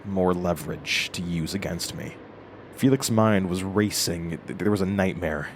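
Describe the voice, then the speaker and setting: An actor playing a haunted narrator in a horror audio drama, telling his own story in a grave, halting way. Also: deep voice, slight background noise. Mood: dazed, grave.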